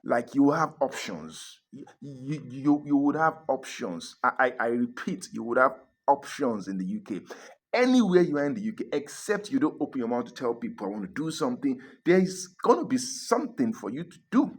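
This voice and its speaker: Husky-voiced man giving blunt relocation advice. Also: mid-range voice, big pitch swings, moderate pace. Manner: emphatic, repeats points, chuckles often. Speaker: relocation vlogger. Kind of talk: casual talk to camera.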